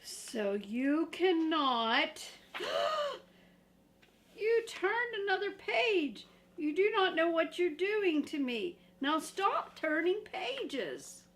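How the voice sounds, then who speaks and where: A woman's voice: very high-pitched, very animated, drawn-out words, a bit bright, pitched above the performer's own voice, exaggerated voice. A woman voicing a lovable, frightened furry monster from a storybook, pleading emphatically and theatrically. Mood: alarmed, upset.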